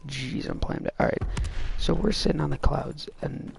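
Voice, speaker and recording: Gravelly young man rambling a story. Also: a little high, normal speed, a little hiss or hum. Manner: rambling, filler-heavy storytelling. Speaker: gaming vlogger. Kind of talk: casual storytime while playing a shooter game. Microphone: gaming mic. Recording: game music loud in the background. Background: game music.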